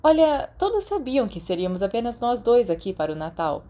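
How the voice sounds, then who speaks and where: A man's voice: very high voice, sing-song, fairly quick, warm tone, pitched above the performer's own voice. A woman voicing a carefree, dreamy and mischievous teenage wizard boy with a breezy, casual manner in an audiobook. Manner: breezy, casual, dismissive of worries.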